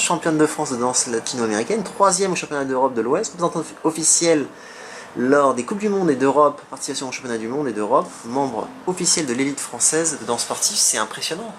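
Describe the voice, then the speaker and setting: Brief: male interviewer, brisk and chatty, quick questions, noisy background. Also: fairly high pitch, expressive. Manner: quick, chatty, many short acknowledgements.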